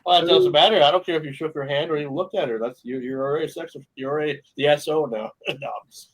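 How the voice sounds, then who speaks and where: A man's voice: a little high, fast talker, low-quality recording. A man in his forties hosting a casual radio chat show, joking and laughing often. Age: 40s. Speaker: host.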